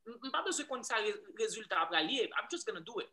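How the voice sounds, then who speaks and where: Bright-voiced Haitian man telling his story. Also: high voice, racing. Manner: animated storyteller, many restarts, growing louder as he goes. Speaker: guest. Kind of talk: casual interview, switching between English, Creole and French.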